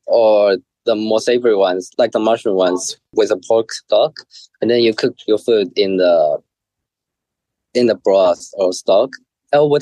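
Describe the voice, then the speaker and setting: Relaxed young man, low voice. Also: no echo, low-quality recording. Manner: relaxed, with many ums. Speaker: culinary student. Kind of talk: casual interview.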